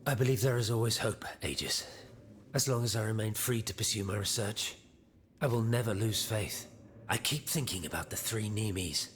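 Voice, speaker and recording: A man plays a learned, fatherly inventor in a game cutscene. His lowish voice is solemn and deliberate, over faint noise. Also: close to an everyday voice. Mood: solemn, earnest. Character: grave, learned father-inventor, devout and troubled. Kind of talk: video game cutscene acting.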